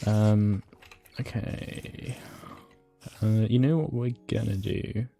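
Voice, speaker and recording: A man with a croaky, weak voice, rambling hesitantly through casual gameplay commentary with many fillers and frequent throat clearing. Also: fairly low pitch, fairly even intonation, slow, dark tone, soft background music. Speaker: let's play gamer. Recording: noisy home recording.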